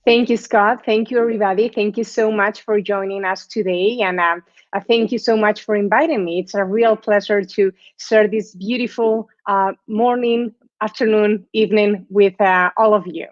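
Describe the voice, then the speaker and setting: A woman's voice: mid-range voice, fairly even intonation, moderate pace, no echo, highs cut off. A woman from Spain with a Spanish accent giving a guest lecture over a video call, chatty, often tagging "right?" to her sentences. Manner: warm, chatty, tags phrases with "right?". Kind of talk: online lecture presentation.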